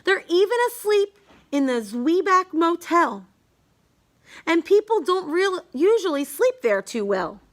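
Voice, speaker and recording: A woman's voice: very high voice, very animated, a little slow. A woman reading a rhyming children's book aloud in an emphatic, sing-song storytelling manner.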